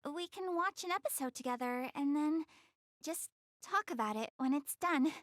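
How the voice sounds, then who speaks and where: Cute anime goddess girl, animated intonation, flustered, proud, video game dialogue, very dry close sound. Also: high voice, normal speed, no echo. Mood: excited, gushing. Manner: bashful, defensive, stammering when embarrassed. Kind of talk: anime video game voice acting, addressing the player.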